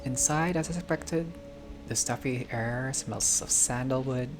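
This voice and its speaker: A video game player reading a visual novel aloud as narration, with casual personal asides and expressive delivery. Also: mid-range voice, measured pace. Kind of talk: playthrough narration with casual reactions. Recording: music behind the voice. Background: game music.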